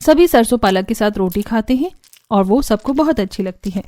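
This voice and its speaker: Mellow woman narrating a cartoon story. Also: fairly high pitch, animated, brisk. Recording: background music under the voice.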